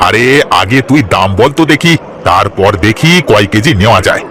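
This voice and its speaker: A man's voice: fairly low pitch, animated, moderate pace, fairly bright tone, soft background music, some chatter behind. A man voicing a pushy, brusque, haggling market customer in a cartoon. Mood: brusque, bargaining. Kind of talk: cartoon voice acting.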